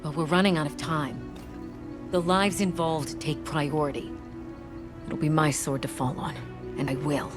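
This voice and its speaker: A man's voice: fairly high pitch, fairly even intonation, a little slow, long pauses, music in the background, lightly acted. A man voicing a grave, resolute figure who is ready to take responsibility, in video game cutscene dialogue.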